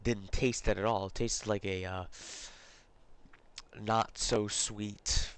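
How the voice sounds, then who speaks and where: A man's voice: fairly low pitch, steady tone, slow pace, clear, resonant tone. Congested man chatting casually on stream. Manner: rambling, chatty, many fillers and restarts. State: congested, recovering from a cold. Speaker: live-stream host. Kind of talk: casual live-stream chat. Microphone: close desk mic.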